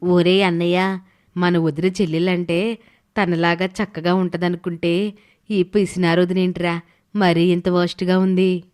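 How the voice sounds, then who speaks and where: A woman's voice: fairly low pitch, flat delivery, unhurried, darkish tone, clean tone, lightly acted. A woman playing a grumbling, blunt young sister-in-law in a moral story cartoon. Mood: complaining. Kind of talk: animated moral story voice acting.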